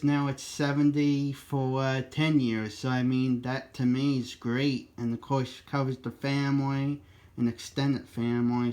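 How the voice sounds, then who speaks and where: A man in a casual two-way radio chat, speaking haltingly and often breaking off to restart his sentences. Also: medium pitch, a little mellow. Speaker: amateur radio operator. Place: two-way radio exchange over a repeater.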